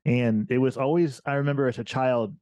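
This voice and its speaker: Male podcast host in his forties from Ohio, warm low timbre, dry close mic, relaxed conversational delivery. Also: a little fast, no room sound. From Ohio, USA. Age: 40s. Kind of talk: casual interview.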